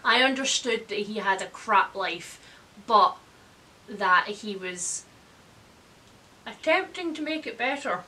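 A woman's voice: mid-range voice, expressive, takes it slowly, long pauses, sharp tone. A woman in her thirties hosting a casual, opinionated book chat, speaking in a blunt, wry way with some mild swearing. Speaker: book reviewer, video host. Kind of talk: casual chatty book discussion. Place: indoors, room lined with bookshelves.

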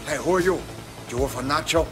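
A man's voice: fairly high pitch, quick pace, natural voice. A man playing a brash, menacing drug kingpin with a Cuban accent and a cocky, profane manner in a video game. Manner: cocky, profane, tough-talking. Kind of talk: video game cutscene acting. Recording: game music behind the voice.

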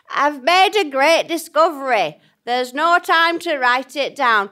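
A woman voicing an excitable investigator reading case notes theatrically in a live comedy sketch. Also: sits high, slow, exaggerated voice. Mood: self-important.

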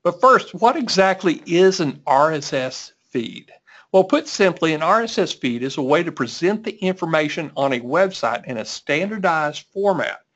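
A man with a higher voice hosts a webinar, upbeat and prone to restarts. The sound is dull, lo-fi call audio. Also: a bit bright. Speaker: host. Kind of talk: webinar presentation. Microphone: video call.

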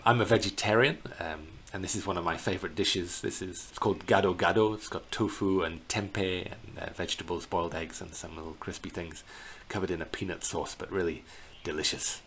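Middle-aged male tour guide, very low voice, informal slideshow narration with hesitant phrasing, faint noise on the recording. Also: steady tone, fairly quick, hardly any pauses, a bit bright. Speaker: bird tour guide presenting a virtual tour. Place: indoors in a small plain room. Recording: clear background noise.

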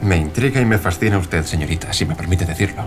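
Man, acting, courteous publisher, persuasive, audio drama, low pitch, music behind. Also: quick pace, natural voice. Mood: persuasive, intrigued.

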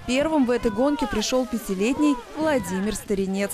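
A woman reading a scripted local news voice-over in an even, clear way. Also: on the high side, a little fast. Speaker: news reporter.

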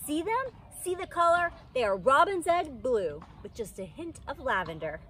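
A woman's voice: high-pitched, big pitch swings, moderate pace, fairly bright tone, pitched above the performer's own voice. A woman voicing a vain, show-off little schoolgirl in a storybook, gushing and singsong. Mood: boastful. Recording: outdoor background noise.

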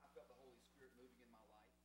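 A man's voice: mid-range voice, fairly even intonation. Earnest middle-aged man in echoey hall. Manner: halting, earnest. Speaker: alumnus panel guest, preacher. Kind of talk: informal testimony on a panel. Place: stage in a college chapel. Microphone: handheld microphone. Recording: echoey hall with noisy hum.

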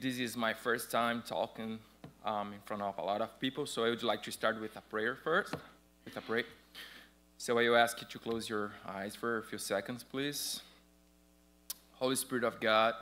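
Brazilian man in his 30s, bright voice, careful and earnest, testimony at a church pulpit. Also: medium pitch, fairly even intonation, moderate pace, long pauses. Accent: Brazilian Portuguese. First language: Portuguese. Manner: earnest and careful, slightly hesitant. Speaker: church member giving testimony. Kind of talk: personal testimony and prayer. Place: church sanctuary stage, at a pulpit.

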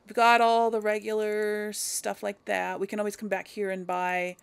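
A woman's voice: medium pitch, level tone, normal speed, vocal fry, clear, resonant tone. A woman giving casual video game commentary, thinking aloud as she plays. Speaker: video game let's player.

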